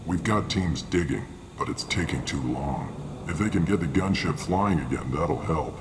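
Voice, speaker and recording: Gruff merc doing a briefing in a game, super low voice, blunt and tired, noisy background. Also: male voice, animated, moderate pace. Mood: matter-of-fact. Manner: blunt, matter-of-fact. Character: gruff male mercenary briefing hired guns, weary and matter-of-fact. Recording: clearly noisy game ambience behind.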